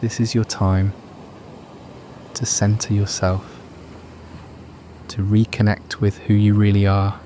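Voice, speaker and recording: A man narrating a guided meditation in a soothing, unhurried, measured manner. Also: fairly low pitch, flat delivery, dark tone, slight background noise.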